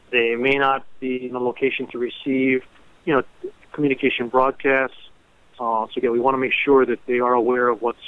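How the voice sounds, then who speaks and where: A man speaking as a civil defense official, giving a measured, hesitant emergency briefing over a telephone conference line. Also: mid-range voice, no room sound. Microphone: telephone conference call. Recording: narrow, tinny phone conference line.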